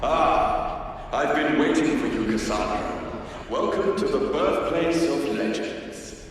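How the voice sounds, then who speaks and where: Echoing, slow villain scientist. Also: male voice, a little high, lively intonation. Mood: smug, welcoming. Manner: measured, condescending, theatrical. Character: eerie, philosophical villain scientist, calmly superior. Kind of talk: dramatic video game cutscene acting. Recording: distant, long cavernous reverb, noisy ambience.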